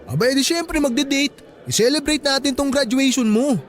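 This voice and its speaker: A man's voice: very high-pitched, normal speed. A man with a Filipino accent playing an affectionate, playful boyfriend in a radio drama. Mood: cheerful. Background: background music.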